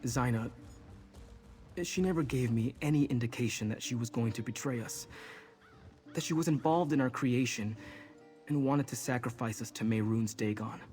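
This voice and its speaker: An actor playing an earnest, hesitant, guilt-ridden young fantasy hero in a video game. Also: male voice, medium pitch, normal speed, pauses often, a little mellow, soft background music, close to an everyday voice. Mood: shaken. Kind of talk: dramatic video game acting.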